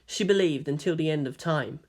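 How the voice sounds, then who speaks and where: A man reading fan fiction aloud as an expressive audiobook narrator. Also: on the high side, a little fast.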